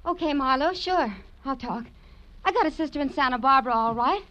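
Brief: high, bright-voiced American tough girl, defiant and wisecracking, old radio crime serial, muffled sound. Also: lively intonation, a little fast. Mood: sarcastic, snappy. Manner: sassy, sarcastic, snappy. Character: brassy, defiant, wisecracking young female crook. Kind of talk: 1940s radio crime drama acting. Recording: muffled vintage radio recording.

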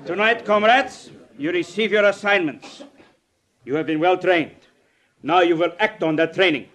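Menacing communist agent, commanding and condescending, measured delivery, old-time radio recording. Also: male voice, high-pitched, fairly bright tone. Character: stern, menacing male Soviet agent and party boss. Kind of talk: 1950s radio drama acting. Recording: vintage 1950s radio recording.

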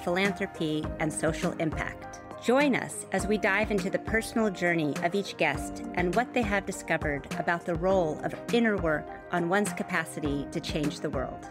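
A woman's voice: fairly low pitch, moderate pace, few pauses. A woman hosting a podcast. She reads a scripted introduction and then asks interview questions in a polished, welcoming way. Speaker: podcast host. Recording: music bed under the voice.

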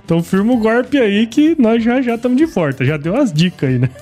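A man from Brazil hosting his podcast in a relaxed, slangy, friendly way. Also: high-pitched, fairly quick, music in the background. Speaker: podcast host.

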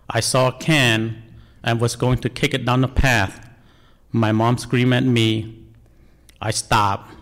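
Male, forties, Cambodian accent, medium pitch. Earnest, deliberate delivery of a prepared personal story in second-language English. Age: 40s. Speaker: National Guard member telling life story.

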